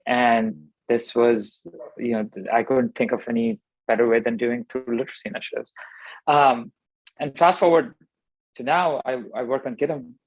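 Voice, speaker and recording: A man's voice: medium pitch, steady tone, moderate pace, dry recording, dull audio. Thoughtful man from Pakistan. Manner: thoughtful, many fillers and restarts. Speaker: startup founder guest. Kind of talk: conversational interview answers.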